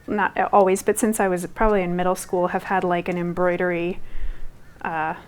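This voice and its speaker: A woman's voice: lowish voice, level tone, normal speed, a little mellow, clear, resonant tone. A young woman textile artist from Guatemala whose first language was Spanish, speaking casually in an interview, with lots of fillers and restarts. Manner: rambling, many fillers and restarts.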